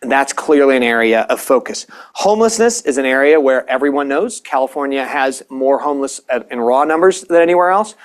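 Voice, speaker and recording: A man speaking on a panel, laying out statistics in a steady argument with frequent small restarts. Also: on the high side. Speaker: panelist presenting policy data. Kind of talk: panel talk.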